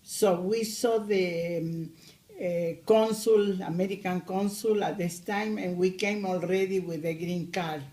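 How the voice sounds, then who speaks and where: A woman in her eighties, originally from Argentina, speaking English with an Argentine Spanish accent as she recalls her life in a family interview, with frequent pauses and hesitations. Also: on the low side, fairly even intonation, mellow. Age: 80s. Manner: slow, hesitant, many pauses. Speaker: grandmother interviewee.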